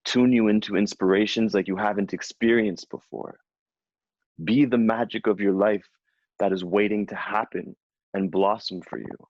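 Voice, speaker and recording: Deep-voiced man giving a spiritual reading. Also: fairly even intonation, a little mellow, no echo. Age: 30s. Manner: slow, soothing, affirming delivery. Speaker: spiritual coach. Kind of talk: guided channeled reading, then casual chat. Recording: dull lo-fi sound.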